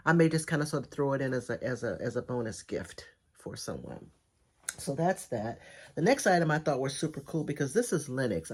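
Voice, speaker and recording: A middle-aged woman with a raspy, congested voice, chatting enthusiastically through a thrift haul video. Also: deep voice. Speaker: thrift reseller vlogger. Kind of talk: casual haul video chat.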